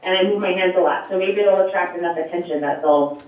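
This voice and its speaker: A woman presenting a program at a board meeting, explaining and answering questions with a loud voice, many fillers and light laughs. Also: lowish voice, quick pace. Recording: echoey, distant, tinny phone-like line.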